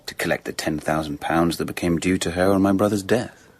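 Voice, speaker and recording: An actor playing a protective, brusque upper-class gentleman, expressive and indignant, in a radio drama. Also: deep voice, brisk, breathy edge. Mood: concerned.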